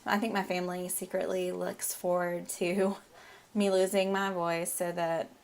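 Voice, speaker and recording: A woman's voice: lowish voice, level tone, measured pace, darkish tone. A woman in her thirties with a Southern US accent, chatting casually over her gameplay, her voice a little off from a lingering head cold and often breaking into giggles. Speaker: gameplay video host.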